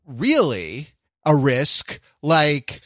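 Halting man in a dry, close recording. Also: on the high side, wildly swooping pitch, a bit bright, dry recording. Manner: halting, circling toward his point. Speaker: podcast co-host. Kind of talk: casual podcast chat.

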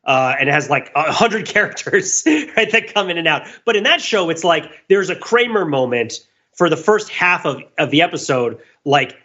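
Male podcast co-host, bright forceful voice, rambling enthusiastic explainer, slightly dull recording. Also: a little high, sing-song, moderate pace. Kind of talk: casual analytical discussion.

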